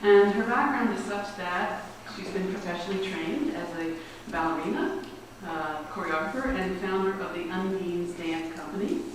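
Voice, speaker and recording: A woman's voice: on the low side, normal speed, hardly any pauses. A woman directing a society, welcoming an audience and introducing the evening's speaker, with frequent hesitations and fillers. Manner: reads a biography with hesitations and fillers. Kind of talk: formal introduction. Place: meeting room before an audience. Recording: echoey room, mic far off, background hiss.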